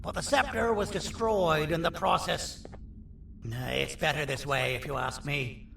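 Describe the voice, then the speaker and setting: A man's voice: a little high, fairly even intonation, a little slow, vocal fry, slight background noise. A man playing an earnest, scheming monster doctor in a video game, talking persuasively and dramatically. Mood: hushed, wary. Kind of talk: video game character acting. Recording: roomy, distant, under loud scary music.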